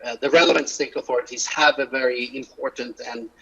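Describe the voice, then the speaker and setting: A man speaking haltingly as a panelist in an online webinar, with many fillers and restarts. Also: medium pitch, fairly even intonation, fairly bright tone, a little hiss or hum. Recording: muffled online audio.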